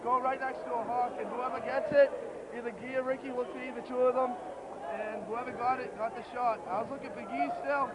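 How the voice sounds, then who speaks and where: A man, a basketball player, answers a post-game question in a quiet, halting way, full of hesitations. His high voice comes from some distance off the microphone. Loud noise covers much of the sound. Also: fairly even intonation. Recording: distant, noisy outdoor recording.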